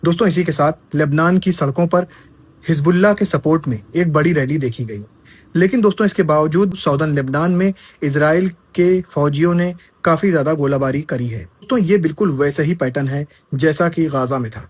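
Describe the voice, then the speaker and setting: A man's voice: a little high, fairly quick, slightly rough, no room sound. A man giving casual news commentary, talking straight to his viewers as friends and asking rhetorical questions.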